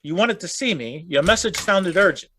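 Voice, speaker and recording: An actor playing a wry, courteous elderly gentleman in a gothic radio play. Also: sits high, animated, moderate pace, a little hiss or hum.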